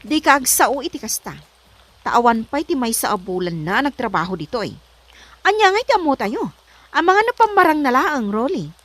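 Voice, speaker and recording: A woman's voice: sits high, sing-song, normal speed, a bit bright, heavily acted. A woman with an Ilocano accent playing a strict, suspicious, bossy lady of the house in a comedy drama. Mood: annoyed. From Philippines. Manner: scolding, demanding.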